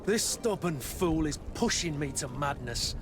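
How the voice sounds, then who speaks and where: An actor playing a blunt, sardonic guard captain in a video game, with a grumbling, exasperated manner. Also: male voice, on the high side, expressive, unhurried, background noise. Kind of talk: scripted video game dialogue. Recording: music behind the voice.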